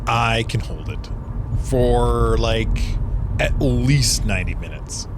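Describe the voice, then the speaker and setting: A man's voice: medium pitch, lively intonation, slow. A man playing a playful, childlike goofball on a road trip in a comedic audio drama, enthusiastic and teasing. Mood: pleading, teasing. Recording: car and road noise behind.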